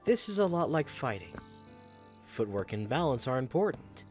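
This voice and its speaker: An actor voicing a calm, protective young knight with a dry, gently teasing manner, in an audio drama. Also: male voice, mid-range voice, normal speed, faint music behind, lightly acted.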